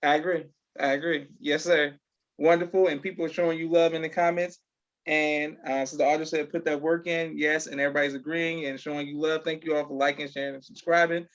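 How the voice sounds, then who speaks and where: A man's voice: a little high, little pitch movement, brisk, dull audio. A man hosting a casual interview podcast in an affirming, courteous manner. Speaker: podcast host.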